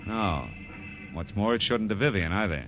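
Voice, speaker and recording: A man's voice: on the low side, lively intonation, normal speed, a bit bright, lo-fi sound. An American man acting a tough, wisecracking private detective in 1940s radio drama style, brusque, slangy and clipped. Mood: terse, pressing. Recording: old radio broadcast with music behind.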